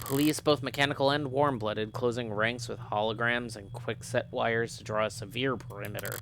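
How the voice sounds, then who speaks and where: A man with a gravelly voice livestreaming, chatting and reading a visual novel aloud. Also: mid-range voice, steady tone, moderate pace, a bit bright, slight background noise. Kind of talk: livestream chat and read-aloud narration.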